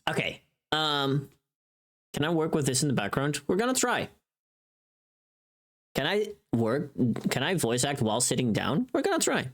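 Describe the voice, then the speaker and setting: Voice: medium pitch, sing-song, moderate pace, pauses often. A livestreamer chatting casually while working, rambling and muttering to themself. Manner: rambling, muttering to self, humming. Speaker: livestreamer, voice actor.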